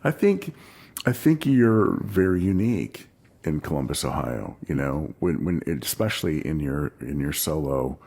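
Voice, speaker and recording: A man's voice: extremely low pitch, very animated, unhurried, vocal fry, a little mellow. A man hosting a podcast who keeps up a casual interview with short, supportive interjections. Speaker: podcast host.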